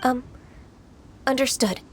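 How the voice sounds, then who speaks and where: A woman voicing a gentle, earnest young half-adeptus trainee in an anime game, polite and a little hesitant. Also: high voice, takes it slowly, background noise.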